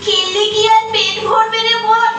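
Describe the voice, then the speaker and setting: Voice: very high voice, level tone, normal speed. A performer voicing a determined, protective young boy in a puppet show, speaking in an earnest, pleading way. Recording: very echoey, distant, loud music behind.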